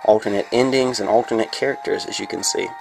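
Casual gameplay reviewer, male, congested, gravelly low voice, rambling with lots of fillers, faint game music underneath. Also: quick pace, a little mellow, low-quality recording. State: congested from a sinus infection.

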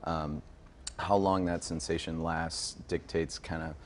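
A man's voice: rumbling low, little pitch movement, a little slow, a little hiss or hum. A man in his thirties, a guest in a TV studio, explaining things informally like a sommelier and often checking with a "right?". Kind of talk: casual live TV wine demonstration. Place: TV news studio.

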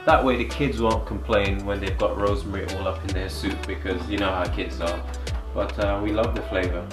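Home cook, male, relaxed how-to delivery, lower voice, roomy kitchen sound with background music. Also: fairly quick. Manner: relaxed, explaining each step. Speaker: home cook, family vlogger. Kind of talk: cooking demonstration. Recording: echoey room with background music.

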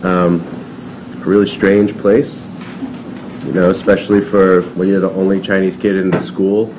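Low-voiced man in his thirties, relaxed panelist at a table mic. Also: moderate pace, noisy background. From born in Taiwan, raised on Long Island. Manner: conversational, lots of "you know" and "um". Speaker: fiction writer, panelist. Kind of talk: casual panel talk. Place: seminar room.

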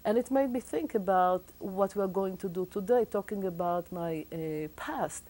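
A woman's voice: medium pitch, moderate pace, warm tone. A middle-aged Israeli woman with an Israeli accent, explaining as a guest in a TV studio interview, with many thoughtful hesitations.

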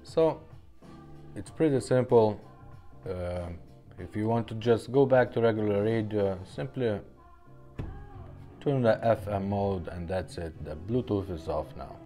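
A man with a low, mellow voice walks through a product demonstration casually. He speaks slowly and haltingly, explaining one step at a time in a low-key manner. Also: soft background music. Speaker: presenter demonstrating a restored car radio.

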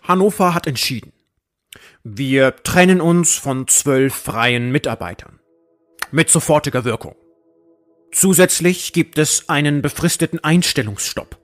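Audio drama casting: a man as a weary, evasive chief editor, stiffly formal, slightly high-voiced, bearing bad news reluctantly. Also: expressive, a little slow, soft background music. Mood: regretful, firm.

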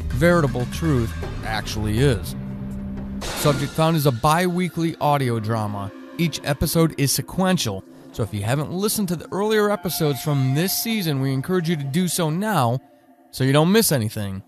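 A man reading scripted narration as the announcer introducing an audio drama. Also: fairly high pitch. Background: music.

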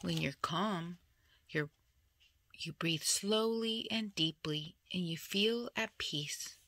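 A woman reading a children's picture book aloud in an expressive storytelling style. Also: fairly low pitch, slow pace, slight background noise. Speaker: storybook reader.

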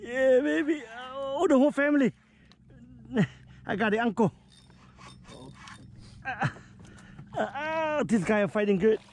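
A middle-aged man talking on a small boat out on open water, his high voice leaping all over the place as he whoops and laughs at every catch. He talks slowly between the bursts of excitement. Faint wind and water hiss sit behind him on the action camera. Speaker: fishing vlogger. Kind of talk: excited casual chat while fishing.

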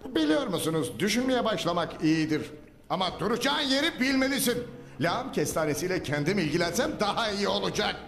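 Scornful bald sewer villain, very high voice, grandiose speeches, echoey reverb. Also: male voice, big pitch swings, brisk, vocal fry, fairly bright tone, heavily acted. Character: scheming bald male sewer villain, commander of dirt creatures. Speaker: cartoon dub voice actor. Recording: echoey reverberant mix with music.

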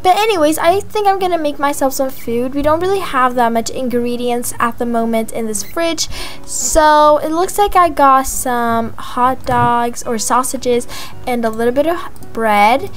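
A young woman voicing a chatty, excitable young mother in a gaming roleplay vlog, gushing and drawing out words for emphasis. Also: sits high, a little slow. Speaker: video creator voicing her game character. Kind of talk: voiced life-simulation roleplay vlog narration. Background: background music.

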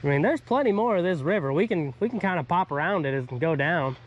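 Casual, higher-pitched guy vlogging outdoors. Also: animated, quick pace, dull audio. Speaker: vlog host, angler. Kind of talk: casual outdoor fishing vlog chat. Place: outdoors by river, woods and pond. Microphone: action camera on body or at arm's length. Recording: outdoor action-camera sound with faint ambient noise.

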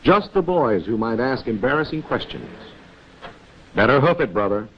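A man's voice: medium pitch, animated, moderate pace, a little hiss or hum. An American man narrating a 1940s instructional film, chiding its characters directly in a wry, rhetorical way. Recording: dull 1940s film soundtrack, music.

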